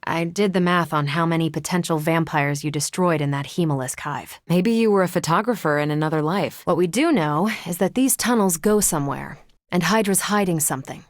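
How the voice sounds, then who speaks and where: A woman's voice: sits low, a little fast, no echo, lightly acted. A woman voicing a confident, wry superheroine soldier in a video game, with a dry, assured manner. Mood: wry, conversational.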